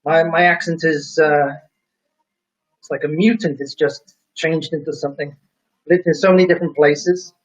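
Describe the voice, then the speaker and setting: A high-pitched, chatty man whose faint Welsh lilt is blurred by years of English living hosts a relaxed live stream, reading viewer comments aloud and teasing them with quiz questions. The audio is dull and lo-fi. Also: moderate pace. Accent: faint Welsh mixed with English. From Wales. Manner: chatty and jokey, quizzing viewers.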